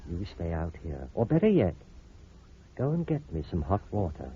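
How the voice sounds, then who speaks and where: Deep-voiced, scheming radio-drama doctor. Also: male voice, wildly swooping pitch, moderate pace, slightly rough, heavily acted. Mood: falsely soothing. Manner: theatrical, coaxing, soothing delivery. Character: smooth, scheming, manipulative male doctor, secretly menacing. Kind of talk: 1940s radio drama acting. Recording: muffled, noisy vintage radio recording.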